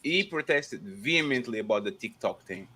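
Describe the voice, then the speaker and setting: A man's voice: medium pitch, animated, moderate pace, sharp tone, husky. A man hosting a casual panel discussion, stumbling and repeating words as he presses the others with questions. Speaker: panel host.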